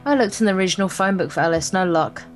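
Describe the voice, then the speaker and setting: A woman's voice: mid-range voice, fast, soft background music. A woman reading a visual novel aloud for a gameplay video, in a playful, theatrical way with crude joking asides.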